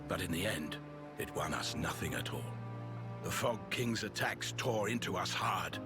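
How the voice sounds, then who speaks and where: A low, gruff veteran soldier from a fantasy game. He is grave, blunt and resigned, carrying grief, with music behind the deep voice. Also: animated, moderate pace. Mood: sorrowful. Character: grieving, weary, gruff middle-aged guard veteran. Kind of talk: video game voice acting.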